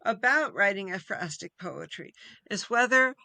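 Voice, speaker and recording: A woman speaking as a guest poet in a conversational interview, earnest and deliberate in her phrasing. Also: medium pitch, lively intonation, gravelly, sharp tone, no echo. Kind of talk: conversational interview about poetry.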